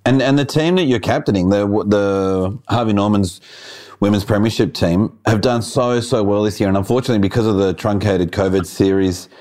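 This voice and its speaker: A man's voice: fairly low pitch, a little mellow. Enthusiastic man hosting a sports podcast. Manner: enthusiastic, friendly, quick to laugh. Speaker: podcast host. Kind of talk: casual sports interview.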